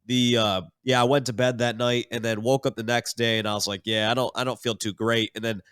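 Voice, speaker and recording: A man on a podcast as a guest, sounding stuffed-up and nasal from being sick, telling his story in a relaxed, rambling way. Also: medium pitch, fairly quick, clear, resonant tone, no room sound, clean studio sound. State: congested, nasal from illness. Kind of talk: casual podcast chat.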